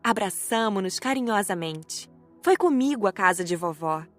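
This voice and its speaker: A woman's voice: medium pitch, lively intonation, moderate pace, soft background music. A woman reading scripted first-person narration as an audiobook narrator.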